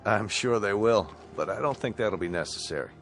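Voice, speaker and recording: An actor playing a courteous, businesslike colonel in command of a rescue spaceship, measured and official, in a radio drama. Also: male voice, fairly low pitch, lively intonation, fairly bright tone. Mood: reassuring. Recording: radio drama with faint music behind.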